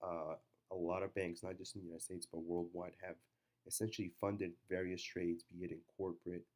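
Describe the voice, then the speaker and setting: A man with a stuffy cold giving halting, unscripted market commentary with many fillers. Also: low-pitched, little pitch movement, a little mellow.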